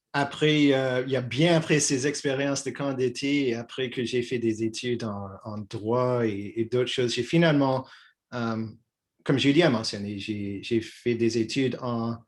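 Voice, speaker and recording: A man in his sixties from Quebec, as a guest in a relaxed dialogue, hesitating and restarting his phrases often. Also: medium pitch. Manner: halting, many restarts and fillers. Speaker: guest, peace activist. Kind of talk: conversational dialogue.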